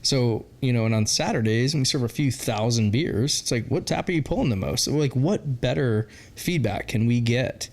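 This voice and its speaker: A man's voice: mid-range voice, moderate pace, few pauses, darkish tone. Candid forty-year-old man, rambling interview answers. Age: 40s. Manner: candid, rambling, many "um" fillers, laughs often. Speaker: brewery founder and guest.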